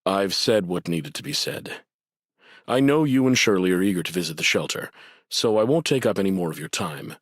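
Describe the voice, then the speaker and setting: Deep-voiced, courteous academic official. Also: male voice, animated, no echo, clean studio sound. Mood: polite, composed. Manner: formal, measured, polite. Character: courteous, earnest male academic district administrator. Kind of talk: scripted anime game dialogue.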